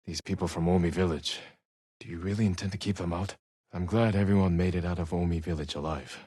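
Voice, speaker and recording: A man playing a stoic, honorable samurai warrior in a video game, husky and measured, with terse, solemn delivery. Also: sits low, darkish tone, no echo, studio quality. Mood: calm, composed. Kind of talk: video game dramatic voice acting.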